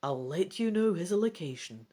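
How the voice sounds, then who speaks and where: A woman's voice: lowish voice, lively intonation, normal speed, warm tone. A woman voicing a brave, protective female adventurer in a fantasy storybook, speaking earnestly and emphatically. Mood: determined, caring.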